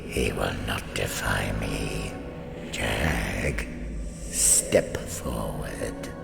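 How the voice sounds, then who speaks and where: An actor playing a cruel tyrant overlord in a video game, speaking in an imperious, contemptuous and menacing way. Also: male voice, heavily acted. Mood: cold scheming. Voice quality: very deep, gravelly, menacing. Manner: imperious, slow, contemptuous, bursts of shouting. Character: cruel tyrant overlord villain, commanding his minions and daughter. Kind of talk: video game villain acting. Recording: roomy echo under loud music.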